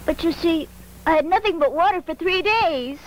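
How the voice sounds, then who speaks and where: A woman playing a cheeky, scrappy, starving street boy in a dubbed animated film, brash and defiant. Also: extremely high pitch, moderate pace, a little hiss or hum, poor audio.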